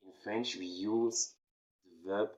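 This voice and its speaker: A man from Cameroon teaches beginner French with a very low, deep voice. He speaks slowly and deliberately. He repeats each key phrase several times for emphasis. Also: steady tone. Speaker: French teacher, channel host. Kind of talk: slow, repetitive beginner language lesson.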